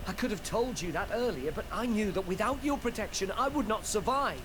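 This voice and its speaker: An actor playing a frightened, cowardly informant in a video game, pleading and deferential in manner. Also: male voice, sits high, lively intonation, a little fast, background noise. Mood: deferential, worried.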